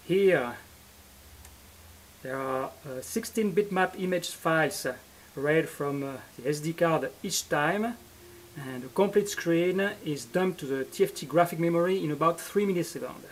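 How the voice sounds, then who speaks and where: Higher-voiced man giving a halting technical demo. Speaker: electronics project presenter.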